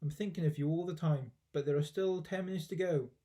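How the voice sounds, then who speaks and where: Storybook boy, played by a man: young, eager, polite yet cheeky, lightly raised pitch. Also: brisk, mellow, close to an everyday voice. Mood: calling back. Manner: polite, earnest. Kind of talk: children's storybook character voice.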